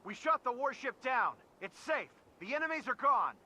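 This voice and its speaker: An actor playing an earnest, hot-blooded young spacefarer in a video game, calling out urgently. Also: male voice, very high voice, animated, normal speed, sharp tone, slight background noise, full character voice, rough recording. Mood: urgent, reassuring. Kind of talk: video game cutscene acting.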